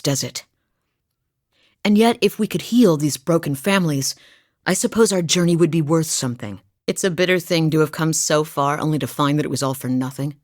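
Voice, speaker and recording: A woman's voice: low voice, no echo. A woman voicing a seasoned, practical female hunter from a far southern island in a video game, speaking in a wry, matter-of-fact way. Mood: earnest. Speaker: game companion character. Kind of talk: studio video game voice acting. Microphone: close studio mic. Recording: dry close studio recording.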